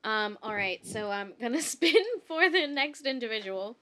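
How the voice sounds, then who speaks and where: A woman's voice: on the high side, lively intonation, normal speed, sharp tone, slight background noise. A woman hosting a comedy podcast, chatting casually and playfully with a giggly manner. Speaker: podcast host and cast member. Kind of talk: casual, jokey group chat.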